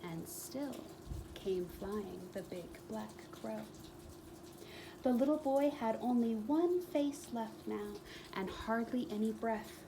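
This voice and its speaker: A woman reading a children's book aloud as a storyteller, expressively, with dramatic pauses and emphatic repetition. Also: fairly high pitch, background noise.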